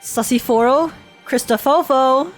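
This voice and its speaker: High-voiced, chatty woman game streamer. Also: unhurried. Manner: giggly, informal, chatty. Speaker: streamer reacting to a game story. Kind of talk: casual live reaction commentary. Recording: game music behind the voice.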